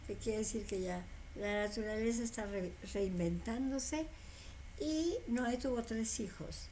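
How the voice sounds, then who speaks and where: This is an older woman speaking as a guest in an easygoing conversation. She takes her time, laying out her explanation step by step and stopping to fix her own slips. Her pace is slow, and a faint noise sits under the voice. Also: medium pitch, a little mellow, rough recording. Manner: unhurried storytelling, corrects herself aloud.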